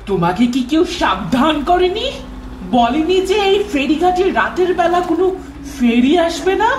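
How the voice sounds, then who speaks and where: A woman voicing a menacing ghost girl in a horror cartoon, with a deliberate, threatening delivery. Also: very high voice, very animated, darkish tone, exaggerated voice. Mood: eerie, accusing. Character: menacing ghost girl haunting a night ferry. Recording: echoing voice over noisy ambience.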